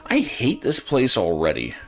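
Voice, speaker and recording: A man's voice: mid-range voice, wildly swooping pitch, unhurried, vocal fry, soft background music. A man doing casual gameplay commentary and reading quest text aloud, with dry, muttered, sweary asides. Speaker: game streamer.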